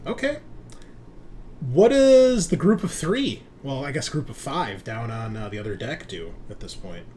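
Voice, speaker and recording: Guy running a tabletop game, average pitch, loose halting narration, some background noise. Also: big pitch swings, warm tone. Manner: informal, halting. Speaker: game master. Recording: noisy room recording.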